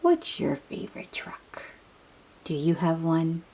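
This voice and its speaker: A woman reads aloud to little children at a very unhurried pace, with a lot of rise and fall in her delivery. Her voice is soft-edged and mellow, and she explains things in simple phrases, often asking the listener a question and then answering it. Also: deep voice, very animated, a little hiss or hum. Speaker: children's picture book read-aloud host.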